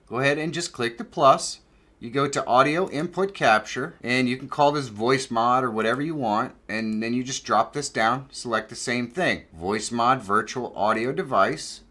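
A man in his fifties with an American accent, giving a casual software tutorial in a plain-spoken, conversational way. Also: mid-range voice. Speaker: tutorial presenter. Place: small indoor home studio.

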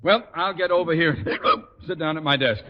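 A man playing a flustered, blustering middle-aged high school principal in 1940s radio sitcom style, pompous and theatrical. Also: a little fast, highs cut off, soft background music, close to an everyday voice. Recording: old crackly radio broadcast, echoey, audience.

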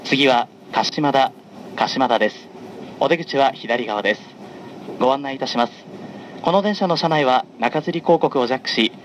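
A man making formal, scripted onboard train announcements in a measured, polite manner, as a conductor does. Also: medium pitch, poor audio. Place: onboard a running train, over the announcement system. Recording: clear noise of a moving train. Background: train running noise.

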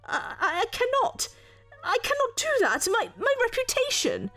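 A woman's voice: very high voice, huge pitch swings, fairly bright tone, long reverb tail, faint music behind, pitched above the performer's own voice, exaggerated voice. A woman voicing a flustered, pleading male professor who stammers and halts, in an audio story. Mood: tearful. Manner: stammering, halting.

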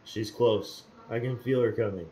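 A man's voice: fairly low pitch, fairly even intonation, normal speed, dark tone, rough recording. A man playing a tabletop game over voice chat, casually describing his actions and then speaking in character. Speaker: player.

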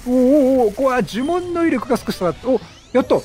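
A man with a high, very lively voice doing casual game commentary. He is chatty and exclamatory, joking as he reacts out loud, with soft game music underneath. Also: fast talker. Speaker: game streamer.

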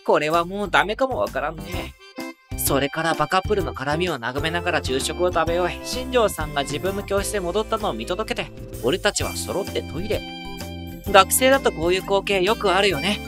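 A man playing a wry, self-mocking high school boy who narrates an anime audio drama with dry asides. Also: lively intonation, a little fast, music in the background.

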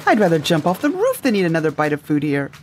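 A woman's voice: low voice, wildly swooping pitch, quick pace, darkish tone, faint music behind. An actress playing a defiant, fretful woman rebel in love in a sci-fi audio drama. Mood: tense.

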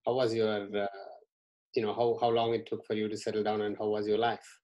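A man's voice: fairly low pitch, little pitch movement, mellow, lo-fi sound. Middle-aged man interviewing on a video call. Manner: measured, a little halting, repeats words. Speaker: interviewer, university staff. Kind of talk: interviewing a student. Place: small room at home, indoors. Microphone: video call webcam.

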